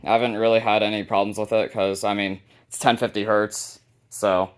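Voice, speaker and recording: A man with a fairly low voice presents items from his collection in a casual, unscripted way. His delivery is informal and somewhat halting, like a hobbyist host talking as he goes. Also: little pitch movement, clean tone. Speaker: hobbyist video host showing a radio collection. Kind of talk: casual unscripted product showcase.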